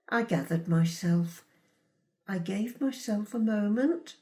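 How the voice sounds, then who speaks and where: A woman performing a dramatic monologue as a middle-aged, possessive and self-righteous mother, indignant and deliberate with emphatic pauses. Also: mid-range voice, far from the mic. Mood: controlled, resentful. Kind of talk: dramatic monologue, confiding storytelling.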